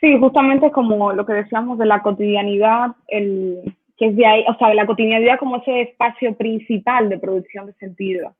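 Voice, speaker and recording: A woman interviewer asking questions in a measured way in a conversation. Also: a little high, steady tone. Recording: tinny call audio.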